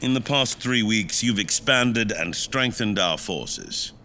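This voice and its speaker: Stern, suspicious monarch for fantasy audio drama, male actor: stately and deliberate, slow delivery with dramatic swoops, some background noise. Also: fairly low pitch, lively intonation. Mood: measured approval. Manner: stately, archaic, deliberate phrasing. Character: stern, formal fantasy king, measured and suspicious.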